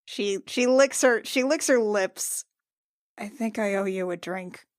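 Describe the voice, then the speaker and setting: A woman playing a tabletop game, improvising casually in character with a halting, flustered, awkward manner. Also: mid-range voice, no room sound, clean studio sound.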